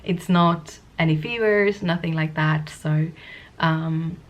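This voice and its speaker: Woman vlogger, deep low voice, sniffly with a cold, soft rambling casual chat. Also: steady tone, slow pace. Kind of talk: casual talking-to-camera vlog.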